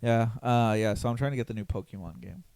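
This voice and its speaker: A hoarse man hosting a podcast in loose, rambling casual chat, full of fillers and swearing. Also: mid-range voice, quick pace, a little mellow, no room sound. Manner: rambling, loose and profane, with lots of fillers and laughs. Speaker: podcast host.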